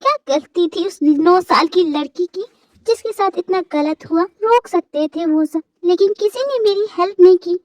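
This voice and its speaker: Woman as a fragile, clingy young wife; high voice, tearful and pleading. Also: moderate pace, a little mellow, no echo. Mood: bitter.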